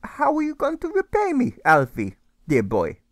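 A man's voice: very high voice, huge pitch swings, normal speed, no room sound, clean studio sound, pitched above the performer's own voice, heavily acted. A man voicing a kindly, teasing German mentor with a genial, coaxing manner, in a storybook reading.

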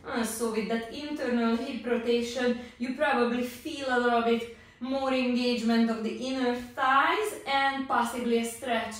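A woman in her forties leading a pilates class at home, cueing each move calmly with many fillers. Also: a little high, moderate pace. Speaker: pilates instructor. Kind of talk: guided exercise instruction. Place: home exercise studio, indoors. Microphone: far camera. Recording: echoey room, voice from far off.

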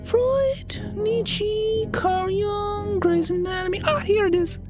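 Woman as a high-pitched, precocious little girl. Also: steady tone, slow pace, music in the background. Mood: eager, matter-of-fact. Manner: pedantic, formal, articulate. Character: precocious, bookish little girl, coolly inquisitive. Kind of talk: acted audio story dialogue.

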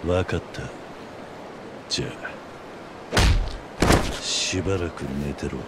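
ゲームのカットシーンで、落ち着いて凄みのある、ぶっきらぼうな中年男を演じる男性俳優。. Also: male voice, extremely low pitch, takes it slowly, heavy background noise. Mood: menacing. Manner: gruff, terse, composed. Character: tough middle-aged man, calm, stern, quietly threatening fighter. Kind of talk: video game cutscene acting.

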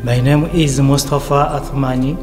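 A man from the Comoros, a Comorian native and preparatory student, speaking with halting phrasing. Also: mid-range voice, flat delivery, music in the background. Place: gallery hall indoors.

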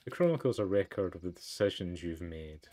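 A man's voice: deep voice, animated, brisk, mellow. A man doing let's play commentary and reading a game's narration aloud. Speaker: let's player. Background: game music.

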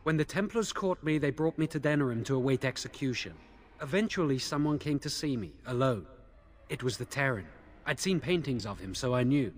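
A man's voice: medium pitch, lively intonation, a little fast, close to an everyday voice. An actor playing an anxious, guilt-ridden young male mage in a fantasy video game, speaking in an earnest, defensive, pleading way. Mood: remorseful, explaining.

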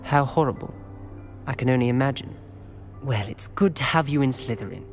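A British actor playing a courteous, wry, refined teenage wizard student in a video game. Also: male voice, mid-range voice, a little fast, music in the background, natural voice. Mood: welcoming, wry. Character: courteous, gently wry upper-class teenage boy. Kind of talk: video game cutscene acting.

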